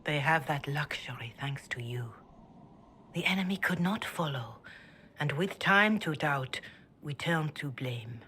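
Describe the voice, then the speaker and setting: An actress playing a calm, grave priestess who speaks softly and in a measured way, often half-whispered. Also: female voice, low-pitched, slight background noise, heavily acted. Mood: solemn. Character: calm elderly woman cleric, gentle and grave.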